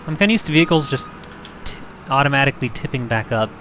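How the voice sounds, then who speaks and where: A young man hosting a farming game let's play, slightly hoarse and rough-voiced, rambling and joking at himself as he thinks aloud. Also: medium pitch, lively intonation, fast, background noise. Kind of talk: casual gameplay commentary.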